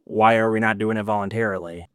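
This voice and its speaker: A man's voice: fairly low pitch, steady tone, fast, a bit bright, dry recording, clean studio sound. A man hosting a panel discussion, asking long questions with a wry edge. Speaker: host, moderator.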